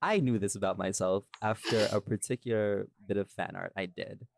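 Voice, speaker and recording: A man's voice: lowish voice, steady tone, normal speed, vocal fry, dry recording, clean studio sound. A man chatting casually in a rambling way, often interrupting himself and repeating phrases. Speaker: podcast guest, voice actor. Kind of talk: casual chat.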